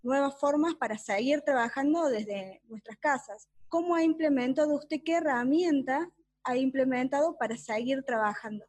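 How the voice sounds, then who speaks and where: High-voiced woman interviewer. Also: normal speed, darkish tone. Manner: polite, formal, some repeated words. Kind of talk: formal interview questions.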